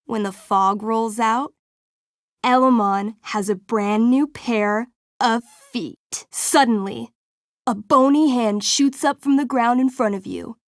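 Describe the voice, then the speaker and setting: Slow, theatrical young female game master. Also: fairly high pitch, no room sound, clean studio sound. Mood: suspenseful, dramatic. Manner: theatrical, suspenseful, deliberate storytelling. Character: young woman game master narrating fantasy tabletop adventure, playfully dramatic. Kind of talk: dramatic tabletop role-playing narration.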